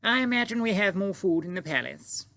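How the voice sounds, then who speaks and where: Storybook queen, poised and prim, lifted high and lively, slow and measured delivery, with a man putting her on. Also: creaky, heavily acted. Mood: regal. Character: dignified, composed queen, a grown woman.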